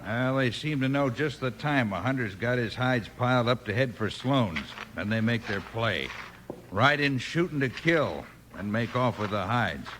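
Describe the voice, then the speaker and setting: An actor playing a jumpy, suspicious buffalo hunter in a 1950s radio western, with a rustic frontier drawl and slang. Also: male voice, mid-range voice, expressive, moderate pace, fairly bright tone, lightly acted. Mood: apologetic, worried. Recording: faintly noisy 1950s radio recording.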